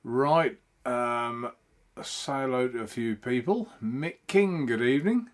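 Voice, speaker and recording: A man's voice: medium pitch, slow. A man hosting a casual live stream from home, chatting in a rambling way and greeting viewers by name.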